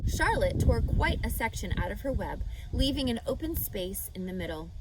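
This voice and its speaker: A woman's voice: fairly high pitch, noisy background. A woman reading a children's book aloud expressively, now and then speaking to the listener. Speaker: storyteller.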